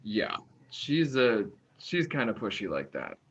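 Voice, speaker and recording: An actor playing a tired, opinionated young man in an online play reading, talking in a halting, wry, naturalistic way. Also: on the low side, husky, full character voice. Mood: teasing, friendly. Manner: halting, animated, wry talk. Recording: dull, lo-fi online recording.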